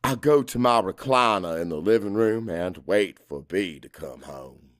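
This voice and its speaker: A man's voice: deep voice, animated, a little slow, no room sound, clean studio sound. A man reading a first-person audiobook narration in a dramatic, brooding and intense manner.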